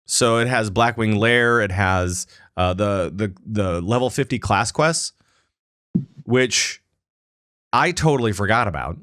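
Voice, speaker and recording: A man's voice: on the low side, a little slow, fairly bright tone, dry recording, studio quality. A man hosting a casual gaming podcast, talking loosely with lots of fillers and restarts. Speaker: podcast host.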